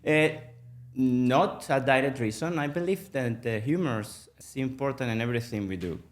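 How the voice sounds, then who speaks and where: A middle-aged man from Venezuela, Spanish native, speaking English with a Venezuelan accent, halting and full of fillers in a casual interview. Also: mid-range voice. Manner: halting, many fillers and restarts. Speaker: guest, mergers and acquisitions manager.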